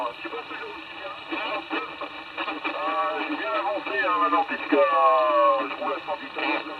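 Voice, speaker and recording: A man talks casually over CB radio while driving. His voice sits a little high with a gravelly creak, and he repeats himself as he goes. The signal is muffled and swamped by heavy noise. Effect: two-way CB radio transmission. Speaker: amateur radio operator driving a car. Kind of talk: casual two-way radio chat. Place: inside a moving car. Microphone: radio microphone. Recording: very noisy, muffled CB radio from moving car.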